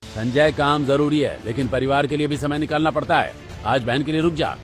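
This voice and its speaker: A man performs a middle-aged father in a family cartoon. He speaks calmly and reasonably in a medium pitch over background music. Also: fairly quick, close to an everyday voice. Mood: calmly advising. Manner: calm, admonishing.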